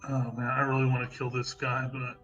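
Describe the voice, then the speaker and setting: A man's voice: mid-range voice, monotone, fairly quick, a bit bright, lo-fi sound, faint music behind. A man playing a tabletop role-playing game, thinking aloud about tactics and describing his character's attacks. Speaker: player. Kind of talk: casual play over voice chat.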